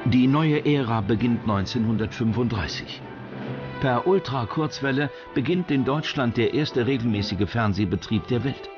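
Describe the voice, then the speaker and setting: A man's voice: medium pitch, normal speed, highs cut off. Male documentary narrator over prominent music. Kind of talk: scripted narration.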